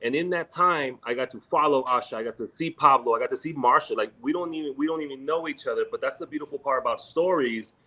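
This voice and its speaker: A man's voice: mid-range voice, fast, few pauses, pushed and bright, rough recording. Male panel host, casual and rambling, slangy energy. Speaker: panel moderator. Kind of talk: casual panel moderation, mixing in Spanish.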